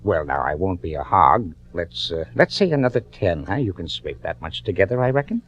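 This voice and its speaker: An American actor playing a cocky small-time crook in a radio drama, folksy, insolent and drawling. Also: male voice, sits low, very animated, a little fast. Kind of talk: 1940s radio acting. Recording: dull old-time radio recording.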